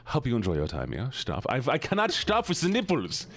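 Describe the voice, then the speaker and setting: A man's voice: medium pitch, huge pitch swings, a little fast, creaky, fairly bright tone, pitched above the performer's own voice, full character voice. Man putting on a theatrical, playful scientist. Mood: cheerful, silly.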